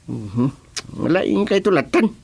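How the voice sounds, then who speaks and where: A man's voice: a little high, very animated, unhurried, creaky, darkish tone, breathy edge, heavily acted. A man with an Ilocano accent playing a village chairman in a radio comedy-drama, talking conversationally with many interjections. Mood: matter-of-fact. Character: male village chairman, reacting with drawn-out exclamations. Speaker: actor in a radio serial.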